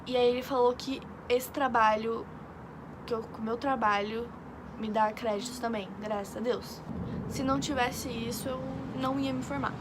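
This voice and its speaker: A young woman from Brazil, an exchange student, telling a rambling story in casual chat with lots of fillers. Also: on the high side. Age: young adult. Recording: noisy background.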